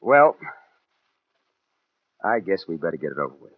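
A man's voice: deep voice, big pitch swings, normal speed, dry recording. An actor in a 1940s radio crime drama playing a cold, self-justifying American killer, brooding as he narrates and clipped when he talks. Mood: coldly calm. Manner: brooding first-person narration with hesitant, clipped dialogue. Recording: muffled 1940s radio broadcast, faint music.

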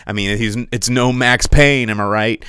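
A man's voice: medium pitch, moderate pace, clean tone, no room sound, studio quality. A man in his thirties hosting a podcast, chatting casually and sarcastically about movies and reading out viewer comments, with lots of fillers and restarts. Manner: rambling, animated, sarcastic. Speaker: podcast host. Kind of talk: casual live-stream chat about movies.

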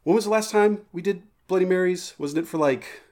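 A man's voice: sits high, fairly quick, a little mellow. A man co-hosting a podcast, telling jokey, rambling stories in casual banter. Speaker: podcast co-host.

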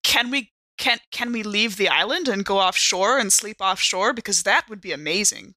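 A woman's voice: mid-range voice, expressive, moderate pace, bright, forceful tone, no room sound. A woman improvising in character as a player in a role-playing game, wry and a little hesitant. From San Francisco Bay Area, said in character.

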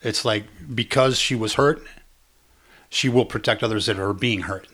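A man's voice: on the low side, moderate pace, fairly bright tone. A man co-hosting a casual podcast, explaining things loosely with lots of fillers and restarts. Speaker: podcast co-host.

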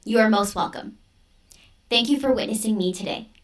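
A woman's voice: on the high side, normal speed, recorded from a distance, close to an everyday voice. A woman playing a girl who formally recites a ceremonial welcome speech in an audio drama. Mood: formal, welcoming.

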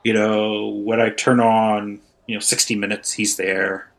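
A man's voice: fairly low pitch, level tone, a little slow, vocal fry, a bit bright. A man playing a starstruck, self-effacing student and devoted fan, earnest and repetitive in an improvised comedy sketch. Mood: contented, meek.

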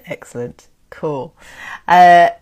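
A woman hosting a casual history live stream, chatty and excitable, thanking viewers by name. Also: lowish voice, slow pace. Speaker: history livestream host.

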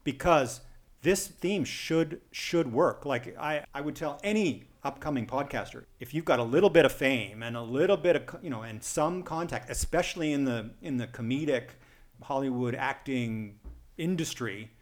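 Canadian man chatting in halting, repeating phrases. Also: medium pitch, animated. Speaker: podcast co-host. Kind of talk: casual conversational review.

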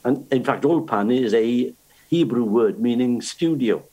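A man's voice: medium pitch, unhurried, mellow. An older man, chatting casually and telling stories about learning the language. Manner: storytelling, with restarts. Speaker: guest. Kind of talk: casual chat.

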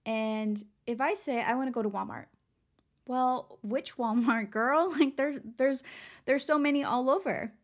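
Young woman podcast host, somewhat high voice gone raspy, chatty and upbeat, talks to listeners like friends. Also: unhurried. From San Antonio, Texas. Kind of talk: casual motivational podcast talk.

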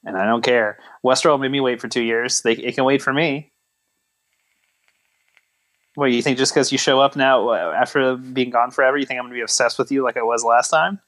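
Guy cohosting a podcast, medium pitch voice, joking around and acting all mock indignant. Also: fairly even intonation, fairly quick, a bit bright. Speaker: podcast co-host. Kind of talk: casual banter.

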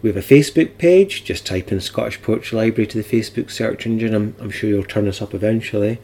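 A man's voice: lowish voice, fast talker, warm tone. A man hosting a podcast, talking informally in a wry, playful and chatty way. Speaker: podcast host.

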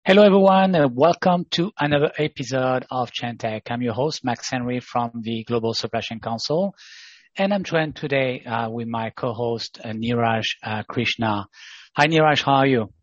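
Male podcast host, halting delivery with frequent fillers, husky voice, dry close sound. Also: mid-range voice, no echo, lo-fi sound. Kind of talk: podcast hosting and interviewing.

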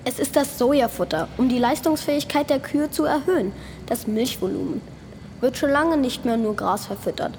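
A woman acts a resolute eco-activist in an audio drama. Her voice sits fairly high and she declaims earnestly, like someone ready to sacrifice herself. There is faint noise in the background. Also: a little mellow, natural voice. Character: resolute female eco-activist microbe inside a cow, self-sacrificing rebel. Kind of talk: scripted radio play acting.

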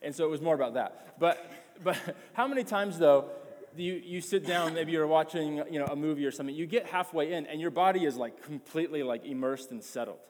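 A man's voice: fairly high pitch, fairly quick, a little mellow, in a large hall. A man preaching a sermon as pastor, passionate and emphatic, often repeating words as he builds his point.

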